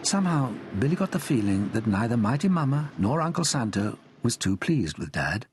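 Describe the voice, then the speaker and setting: A man's voice: mid-range voice, big pitch swings, moderate pace, a little hiss or hum. A man with a British accent narrating a children's story expressively from a script. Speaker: audiobook narrator.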